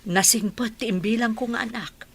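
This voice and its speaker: A Filipino woman acting a caring, earnest female relative in a radio drama, with a husky, breathy voice and a Filipino accent. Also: a little high, moderate pace. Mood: earnest, reassuring.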